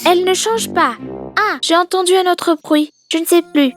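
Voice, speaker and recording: A woman voicing a tiny, eager baby girl in a children's cartoon, squeaky and exclamatory. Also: moderate pace, faint music behind. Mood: alert, earnest.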